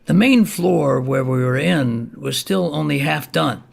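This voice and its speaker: A man's voice: a little high, animated, measured pace, gravelly, exaggerated voice. An actor playing a chatty, folksy older neighbor who tells his story in a colloquial, easygoing way in an audio play. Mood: confiding. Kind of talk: theatrical monologue in an audio play.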